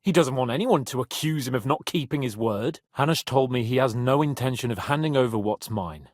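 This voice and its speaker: Guy voicing cocky hot-headed young medieval lord for a game, bit raspy, super swingy pitch, dry close sound. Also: fairly quick, no echo, lightly acted. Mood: earnest. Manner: cocky, sardonic, expressive. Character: brash young male medieval nobleman, haughty, teasing, hot-headed. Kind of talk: dramatic video game voice acting.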